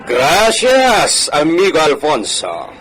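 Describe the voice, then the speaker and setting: A man playing a wealthy, courteous hacienda gentleman in an Ilocano radio drama, formal and measured, peppering his speech with Spanish. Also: a little high, wildly swooping pitch, slight background noise, full character voice. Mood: genial.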